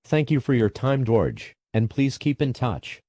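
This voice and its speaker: A man playing a TV news anchor who puts formal, measured questions to a reporter in a scripted breaking-news scenario. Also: mid-range voice, animated, warm tone, a little hiss or hum, lightly acted. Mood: serious.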